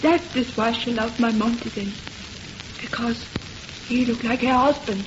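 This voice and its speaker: Woman as a fearful, high-voiced superstitious foreigner, radio horror. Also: measured pace, close to an everyday voice. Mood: uneasy. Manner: stilted foreign phrasing, anxious. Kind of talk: old-time radio horror acting. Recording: noisy muffled old radio recording.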